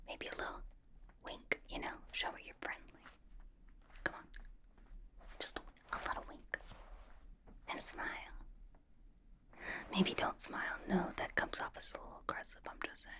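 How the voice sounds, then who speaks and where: A woman's voice: normal speed, pauses often, sharp tone, lightly acted. A woman playing a quirky, playfully suspicious young superheroine in an ASMR roleplay, coaxing and questioning in an airy whisper. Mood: coaxing, teasing. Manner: coaxing, playful mock-interrogation, repeats phrases. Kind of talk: ASMR roleplay, personal attention.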